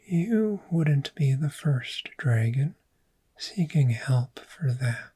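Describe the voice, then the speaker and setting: Woman as dragon therapist, ASMR roleplay, very low pitch, husky breathy whisper, gentle, clinical, reassuring. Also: animated, full character voice. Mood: calm, reassuring. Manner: slow, gentle, measured, reassuring. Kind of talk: soft-spoken ASMR roleplay.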